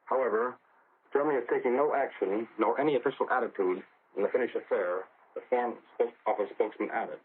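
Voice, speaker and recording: A man giving a scripted 1940s radio news report as a correspondent, measured and careful to make figures clear. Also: mid-range voice. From United States. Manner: measured, repeats figures for clarity. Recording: crackly, phone-like 1940 shortwave radio relay.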